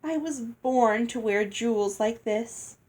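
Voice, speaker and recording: A woman's voice: on the high side, takes it slowly, darkish tone. A woman voicing a haughty, greedy, bossy girl from a storybook, condescending and falsely sweet. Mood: smug, falsely sweet.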